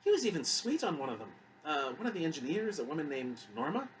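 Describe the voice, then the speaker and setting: A man voicing a kindly elderly woman, a gentle and talkative grandmother in an audiobook story. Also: on the high side, sing-song, quick pace, far from the mic, slight background noise, pitched above the performer's own voice, poor audio. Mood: fond, chatty.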